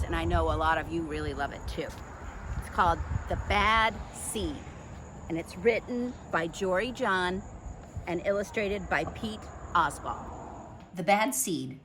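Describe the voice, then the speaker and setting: A woman reading a picture book aloud to children at storytime, expressive, with dramatic pauses. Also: mid-range voice, background noise.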